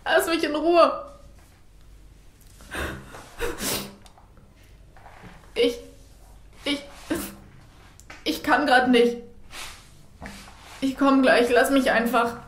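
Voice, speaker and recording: An actress playing a grieving, betrayed young daughter in an audio drama, crying and speaking in halting, broken phrases. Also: female voice, high-pitched. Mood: angry, upset. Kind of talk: German audio drama acting. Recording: echoey room, faint noise.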